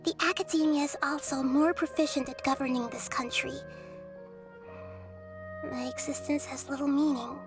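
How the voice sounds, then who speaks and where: A woman voicing a wise, humble young girl deity in a video game, speaking softly and earnestly in measured, formal phrases. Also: high-pitched, fairly even intonation, lightly acted. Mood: wistful, humble. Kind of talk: scripted video game character dialogue. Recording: music under the voice.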